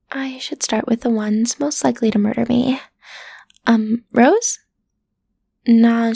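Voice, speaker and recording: A woman's voice: a little high, vocal fry, slightly rough, no echo, heavily acted. A woman playing a frazzled, sardonic agency matchmaker in a comedic audio roleplay, chatty and full of flippant, muttered asides to the listener.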